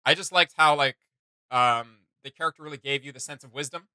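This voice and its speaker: A man's voice: fairly high pitch, fairly quick, bright, forceful tone, clear, resonant tone, no room sound. A man hosting a reaction video, chatting casually in an enthusiastic, rambling fan's manner. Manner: enthusiastic, rambling fan talk. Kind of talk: casual chat.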